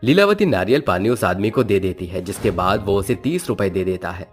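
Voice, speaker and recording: A man's voice: on the low side, expressive, a little fast. A man narrating a scripted moral story as a storyteller. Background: background music.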